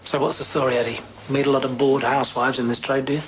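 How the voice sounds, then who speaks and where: An actor playing a dry, sardonic London police detective in an audio drama, talking conversationally. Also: male voice, mid-range voice, flat delivery, fast, slight background noise, natural voice. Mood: wry, needling. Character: wry, seasoned London police detective, dryly needling.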